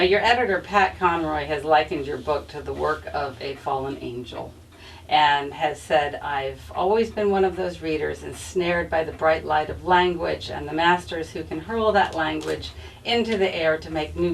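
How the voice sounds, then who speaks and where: A woman's voice: low voice, normal speed, creaky. A woman hosting a bookstore author event, delivering prepared introductions and questions in formal, flowery phrases. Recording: distant mic, faint room noise.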